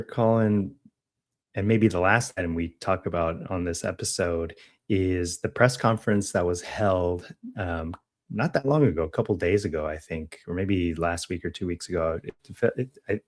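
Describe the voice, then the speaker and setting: An American man co-hosting a podcast, casually talking through news in a halting way, correcting himself as he thinks aloud. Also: low voice, darkish tone. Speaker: podcast co-host.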